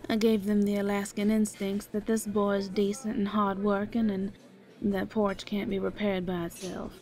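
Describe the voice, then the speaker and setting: A woman's voice: mid-range voice, little pitch movement, normal speed, darkish tone, natural voice, poor audio. A woman playing a brash, bossy but motherly small-town eatery woman, blunt and teasing, in an audio drama. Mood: brisk, bossy. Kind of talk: audio theater acting.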